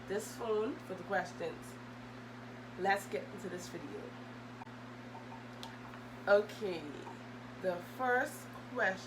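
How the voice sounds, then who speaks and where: A young adult woman, chatting casually and hesitantly as she answers questions for a vlog. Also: medium pitch. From Bahamas. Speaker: video host. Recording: loud humming background noise.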